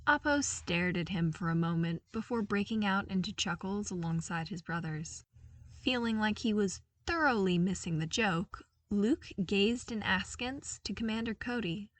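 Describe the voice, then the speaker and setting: A woman's voice: medium pitch, expressive, moderate pace, dry recording, recorded from a distance. A woman reading a scripted story aloud as an audiobook-style narrator. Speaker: podfic narrator.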